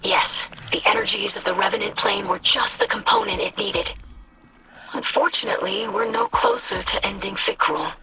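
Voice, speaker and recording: A woman's voice: mid-range voice, animated, moderate pace, noisy background, close to an everyday voice. A woman voicing an earnest, precise young female alien scholar, a devoted daughter, in a video game. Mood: troubled, earnest. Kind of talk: video game character dialogue. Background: music.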